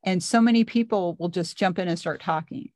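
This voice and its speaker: A woman with a soft voice co-hosting a discussion, pausing often as she talks. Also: on the low side, no room sound, clean studio sound. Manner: pauses while speaking. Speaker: co-host. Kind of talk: conversational discussion.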